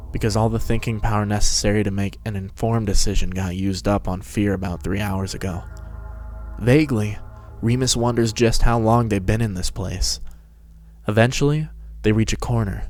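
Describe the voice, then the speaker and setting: A man's voice: on the low side, a little mellow. A man narrating a fan-fiction story as a scripted dramatic reading.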